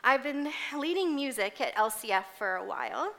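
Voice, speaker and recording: A woman's voice: sits high, animated, normal speed, gravelly, a bit bright. A woman in her forties giving a personal, informal sermon as a church music leader, speaking in an earnest, conversational and somewhat hesitant way. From grew up in Indonesia as a missionary kid, later moved to Canada. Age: 40s.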